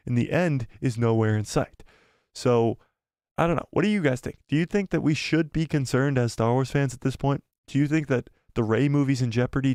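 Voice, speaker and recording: A man hosting a casual live stream, rambling through commentary and reading out viewer comments, his voice going hoarse. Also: medium pitch, a little mellow, no echo, clean studio sound. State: losing his voice.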